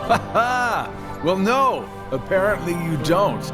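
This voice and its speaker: A man's voice: sits high, sing-song, slow, over music, full character voice. An actor playing a sly, mocking villain henchman with a drawling, taunting delivery in a video game cutscene. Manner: drawling, taunting, chuckling sarcasm.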